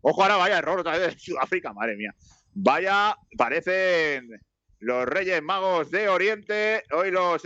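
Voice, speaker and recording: A man's voice: a little high, bright, forceful tone, no echo. A man with a Spanish accent chats through a live football match in a loose, rambling way. His voice lifts whenever an attack builds. The sound is dry and a little dull. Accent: Spanish from Spain. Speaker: football commentator. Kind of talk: casual live match commentary.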